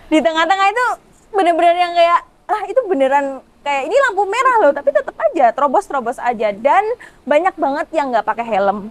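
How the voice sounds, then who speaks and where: A young woman from Java, talking colloquially as a student guest on a talk show. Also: high voice. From Java, Indonesia. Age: young adult. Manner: chatty, colloquial. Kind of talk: casual talk show chat.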